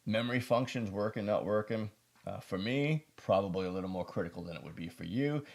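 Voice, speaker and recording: Guy from the us doing a laid-out casual product review, real low voice, lots of fry, chatty and folksy. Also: a little fast. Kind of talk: casual unscripted gear review.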